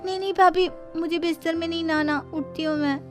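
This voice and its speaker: A woman's voice: very high-pitched, steady tone, fairly quick, music in the background, pitched above the performer's own voice. A woman voicing a sleepy young student girl in a cartoon story, whining and pleading. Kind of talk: cartoon story character acting.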